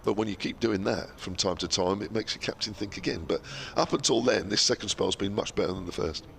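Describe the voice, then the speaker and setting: Deep-voiced commentator man with a cold. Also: brisk, vocal fry, a bit bright, slight background noise. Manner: chatty, many "uh" fillers, asks tag questions. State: has a cold, losing his voice. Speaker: radio cricket commentator. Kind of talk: radio cricket commentary and chat.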